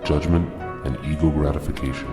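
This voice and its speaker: A man's voice: very deep voice, fairly even intonation, a little fast. A man playing a grandiose cosmic oracle who preaches in a solemn, ceremonious manner. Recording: echoey reverb, music over voice. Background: clear background music.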